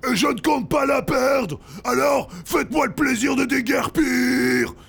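Self-important machine, strained high pitch, gravelly, unhurried, blustering, storybook villainy. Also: male voice, a bit bright, shouting, full character voice. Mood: gruff, irritated. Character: pompous muscle-bound wall-mounted air purifier machine.

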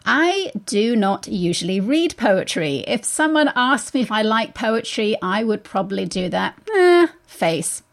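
Creaky-voiced woman hosting an arts interview. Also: fairly high pitch, sing-song, a little slow, hardly any pauses. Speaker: arts show host and interviewer. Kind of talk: radio interview and scripted introduction.

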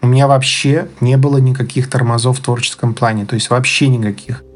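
Male interviewee, medium pitch, halting and groping for words in casual personal storytelling, music behind. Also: fairly even intonation. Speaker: interviewee telling his own story. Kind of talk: casual spoken recollection. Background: background music.

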